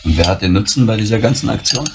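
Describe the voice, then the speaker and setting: An actor playing a brisk, curious reporter who asks inquisitive questions in a crime drama. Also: male voice, lowish voice, animated, background noise. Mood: curious, sceptical.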